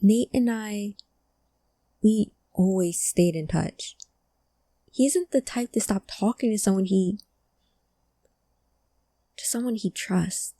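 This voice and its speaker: A woman playing a guarded, grieving friend of a missing person in a cyberpunk audio drama, halting and emotional in delivery. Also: medium pitch, mellow, slightly rough, no room sound. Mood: guarded, wistful.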